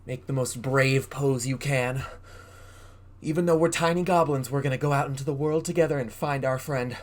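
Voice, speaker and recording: A man with a mid-range voice reads a children's storybook aloud. He is an animated drama teacher who exaggerates his delivery and keeps turning to his young listeners with questions and prompts to play along. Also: medium pitch, moderate pace, pauses often. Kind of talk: interactive children's read-aloud.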